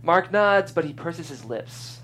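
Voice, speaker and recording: A woman's voice: fairly low pitch, expressive, unhurried, a bit bright. Woman hosting and narrating over noticeable hum. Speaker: host and stage-direction reader. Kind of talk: podcast hosting and scripted narration.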